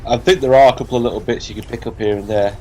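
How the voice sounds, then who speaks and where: A man's voice: mid-range voice, steady tone, rapid-fire, darkish tone. A British man chatting casually as a let's play commentator, opinionated and sweary. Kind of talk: casual banter over gameplay. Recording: background game noise behind voice. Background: video game sound.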